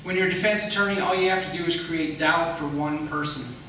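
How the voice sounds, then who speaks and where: A man's voice: a little high, fairly quick, sharp tone. An American man speaking in a campaign debate as an attorney, firm and explanatory, repeating points for emphasis. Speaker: county attorney seeking re-election. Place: echoey hall at a public debate. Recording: very echoey room, distant mic, faint noise.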